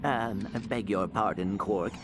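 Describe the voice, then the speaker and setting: An actor playing a small, earnest robot companion in a video game, speaking in a formal, courteous and precise way. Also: male voice, lowish voice, unhurried, background noise, close to an everyday voice. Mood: politely reasoning. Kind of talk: video game cutscene acting. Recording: loud music behind.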